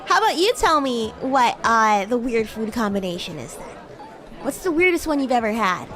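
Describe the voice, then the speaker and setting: A woman's voice: on the high side, big pitch swings, normal speed, fairly bright tone, faint music behind, a faint crowd. A woman streamer voicing a bratty, haughty yet sweet young demon classmate in improvised, teasing roleplay chat. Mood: chatty, teasing. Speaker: streamer, VTuber host.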